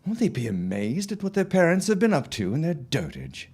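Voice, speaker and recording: Woman doing jovial middle-aged husband in a 1914 radio drama, grand wordy teasing, pitch swoops all over. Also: very animated, a little fast, a little mellow, husky, heavily acted. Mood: jovial, affectionate. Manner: grandiloquent, old-fashioned, teasing. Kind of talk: period audio drama acting.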